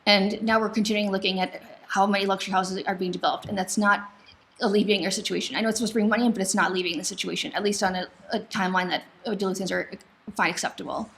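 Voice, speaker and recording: Brief: American female candidate, fast talker with noticeable vocal fry, forum answer. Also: mid-range voice, fairly even intonation, fairly bright tone, a little hiss or hum. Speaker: city council candidate. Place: local TV studio.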